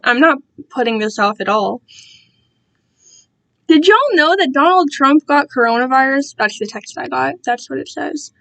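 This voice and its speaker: A young woman with a fairly high-pitched voice hosts a casual livestream. She talks in a loose, rambling style, animated and prone to interrupting herself as she goes. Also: normal speed, gravelly. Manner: animated, self-interrupting, quotes herself and others dramatically. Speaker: livestream host and amateur fan fiction reader.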